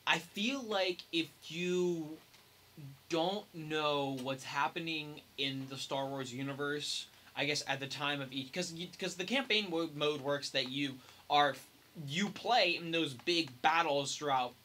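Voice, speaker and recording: Tentative young man, bright higher voice. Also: a little slow. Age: young adult. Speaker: podcast panelist. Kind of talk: casual gaming discussion. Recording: noisy amateur podcast recording.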